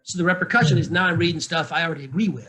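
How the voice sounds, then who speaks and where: A man's voice: on the high side, very fast, sharp tone. A middle-aged man, a narration coach, talking candidly in casual panel chat, joking and often restarting his sentences.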